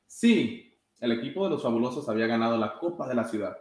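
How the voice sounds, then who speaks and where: A young man with an average-pitched voice reads a children's book aloud. He raises his voice for the characters' exclamations. The recording has noticeable room echo. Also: expressive, quick pace, a little mellow. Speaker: soccer goalkeeper reading a children's story. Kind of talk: read-aloud storytime. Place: indoor room with blinds, sitting. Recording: roomy echo.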